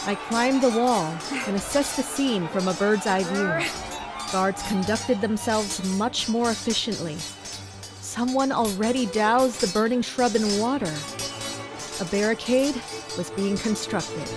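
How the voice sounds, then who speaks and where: A woman's voice: mid-range voice, unhurried, natural voice. A woman playing a tense, commanding castle fighter in a first-person dramatic narration. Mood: tense, watchful. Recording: music behind the voice. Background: music.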